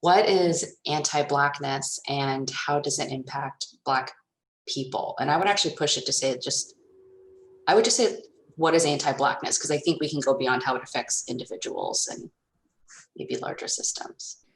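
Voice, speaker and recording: A woman in her thirties from the rural Midwest, speaking thoughtfully and haltingly as a panelist on a video call. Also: low-pitched, reverberant, slight background noise, low-quality recording. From Nebraska, USA. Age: 30s. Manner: thoughtful, halting, many fillers. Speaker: panelist and moderator. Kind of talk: reflective panel discussion.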